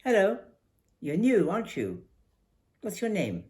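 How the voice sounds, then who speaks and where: An older woman voicing a confident, forthright young Indian schoolgirl in a memoir reading, brisk and emphatic. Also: medium pitch, wildly swooping pitch, a little mellow, pitched above the performer's own voice. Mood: bright, welcoming.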